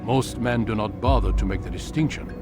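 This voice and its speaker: A man's voice: lowish voice, over loud music, lightly acted. A man as a cryptic old master. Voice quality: measured, lecturing. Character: calm, cryptic older male master.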